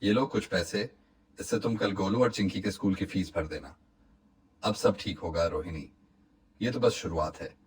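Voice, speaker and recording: A man playing an honest, hopeful woodcutter and family man in a cartoon moral story, speaking in an earnest, reassuring, measured way. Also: deep voice, breathy edge, low-quality recording. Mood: pleased, reassuring. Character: honest, hopeful, hardworking poor woodcutter, husband and father.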